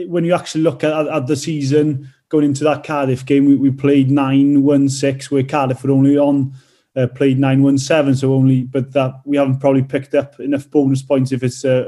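A man's voice: medium pitch, dark tone. A Welsh man from North Wales in his thirties, a rugby player and teacher answering interview questions with many fillers and restarts.

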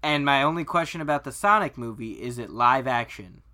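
A man's voice: medium pitch, animated, brisk, sharp tone. A man co-hosting a podcast, talking casually and informally, with tentative, hedging phrasing. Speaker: podcast co-host. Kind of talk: casual conversation about pop culture.

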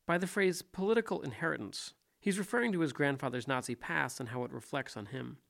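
A man hosting a podcast and reading a measured, scripted narration that links the interview clips. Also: on the high side, lively intonation, vocal fry, a bit bright. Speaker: podcast host.

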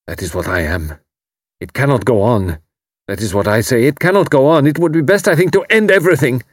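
A man voicing an anguished young German refugee with a German accent, hoarse and bitter, in formal, halting phrasing. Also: medium pitch, no echo, studio quality, pitched above the performer's own voice, close to an everyday voice. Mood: bitter despair. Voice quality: hoarse, strained.

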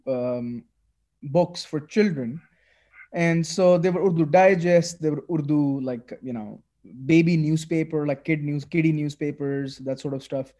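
A man from Pakistan, a guest author talking casually in an interview, animated and full of restarts. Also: a little high. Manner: animated, many restarts and fillers.

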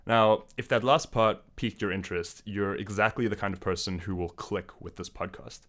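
Young male host from South Africa, low register, earnest scripted delivery with small stumbles. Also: fairly even intonation, normal speed, a bit bright. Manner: earnest, with slips and self-corrections. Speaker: podcast host. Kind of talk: scripted podcast intro monologue.

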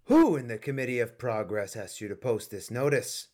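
A man acting in a radio play as an authoritative, conflicted middle-aged colonel and father, husky and emphatic. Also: mid-range voice, lively intonation, moderate pace, darkish tone, lightly acted. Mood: commanding.

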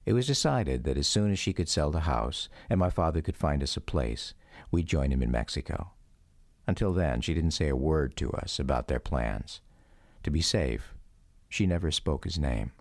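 A man's voice: rumbling low, lively intonation, moderate pace, darkish tone, no echo, clean studio sound. A man narrating a scripted memoir for a radio drama, with music behind him.

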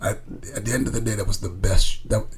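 Man from the Bahamas, low-pitched, creaky voice, emphatic conversational storytelling, faint background noise. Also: steady tone, quick pace. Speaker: college coach being interviewed.

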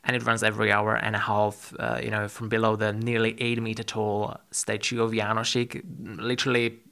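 Creaky-voiced man hosting a podcast. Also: fairly low pitch, completely flat delivery, fairly quick, pushed and bright. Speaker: podcast host. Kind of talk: conversational podcast interview.

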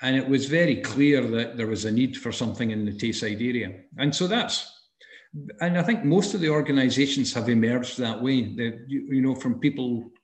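A man's voice: medium pitch, normal speed, reverberant. A Scottish man in his sixties, giving an oral history interview over a video call, speaking thoughtfully with many ums and restarts. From Scotland. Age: 60s. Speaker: interviewee, arts and health practitioner.

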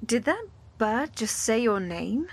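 Sensible female adventurer for audio drama, acted by a woman: frank, highish, expressive. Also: slow pace, slightly rough, full character voice. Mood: cautious, puzzled.

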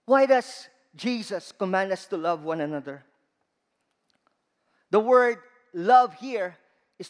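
A man with a Filipino accent preaching a sermon to a church congregation, slow and emphatic, stressing key words. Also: high-pitched. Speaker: guest preacher. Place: large church hall. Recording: long reverb of a church hall.

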